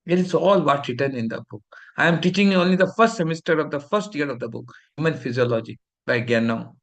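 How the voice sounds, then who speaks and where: A man's voice: fairly high pitch, fast talker, fairly bright tone. Scolding Indian man lecturing a class. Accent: Indian English. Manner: scolding, preachy, switches between English and Hindi. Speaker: teacher of a fellowship class.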